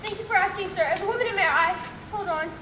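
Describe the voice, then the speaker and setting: A woman's voice: very high voice, fast, bright, forceful tone. A young woman playing a timid, melodramatic mayor's husband in a comic radio play, overwrought and stumbling. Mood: frightened, tearful. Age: young adult. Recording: distant, echoey hall, noisy background.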